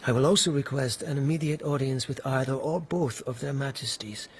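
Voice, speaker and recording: A man's voice: mid-range voice, fairly quick, slight background noise, close to an everyday voice. An actor playing a dogged, intense palace policeman in a radio drama, speaking in an earnest, formal and carefully courteous way. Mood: respectful, resolute.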